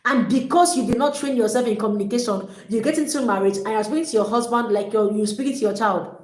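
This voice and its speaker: A woman coaches her listeners with energy and emphasis, often repeating her points. Her voice sits a little high. The sound carries a noticeable echo from the room. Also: quick pace, darkish tone. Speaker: relationship coach. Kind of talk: motivational teaching talk. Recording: echoey room.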